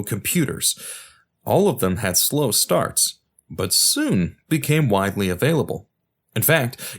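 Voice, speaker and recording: A man hosting a podcast, reading scripted documentary narration in an explanatory way and addressing the listener directly. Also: medium pitch.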